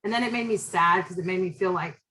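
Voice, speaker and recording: Loud, direct woman lecturing. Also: lowish voice, fast, a little hiss or hum, low-quality recording. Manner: direct, chatty, lots of fillers. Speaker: professor. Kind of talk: casual classroom lecture.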